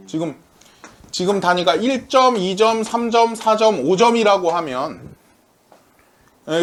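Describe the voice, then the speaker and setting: A man teaching a math class, lively, asking his students questions, answering them himself, and working the arithmetic aloud. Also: high-pitched. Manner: talks through arithmetic aloud.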